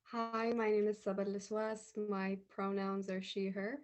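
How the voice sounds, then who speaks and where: A woman from Yemen introducing herself on an online panel in a measured, plain way. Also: medium pitch, level tone, a little mellow. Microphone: video call.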